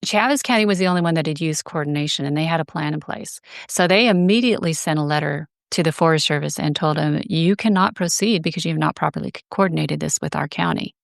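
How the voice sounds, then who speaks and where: A woman explaining things as an interview guest, in a measured, detailed, conversational way. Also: low voice, creaky, dry recording, studio quality. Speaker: guest, advocacy group director.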